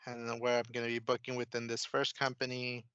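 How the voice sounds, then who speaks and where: A man presenting a casual software demo, explaining each step informally as he clicks through. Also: mid-range voice, monotone, fast talker, smooth, no echo, lo-fi sound. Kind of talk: casual screen-share software walkthrough.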